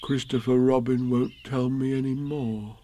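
A man voicing a small, slow-witted, devoted toy bear in a storybook, speaking in a hesitant, wondering way. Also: medium pitch, expressive, mellow, husky, pitched above the performer's own voice. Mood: sad, muddled.

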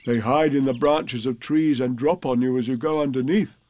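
A simple toy bear, voiced by a man in a children's storybook. He speaks slowly and earnestly, solemn and matter-of-fact. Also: medium pitch, a little mellow, natural voice. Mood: earnest, wondering.

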